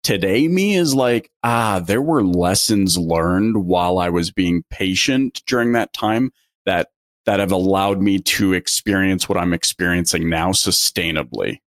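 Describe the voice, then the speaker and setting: A man in his late thirties hosting a casual interview, animated and often stumbling over his words. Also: deep voice, unhurried, dry recording, studio quality. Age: 30s. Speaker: interview host.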